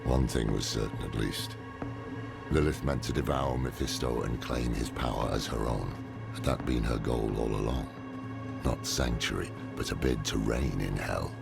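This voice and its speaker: An actor playing a world-weary veteran scholar-warrior in a dark fantasy game, measured and wry, with a gravelly voice. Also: male voice, full character voice. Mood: solemn, reflective. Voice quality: deep, gravelly. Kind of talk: dramatic video game dialogue and narration. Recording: dramatic game music underneath.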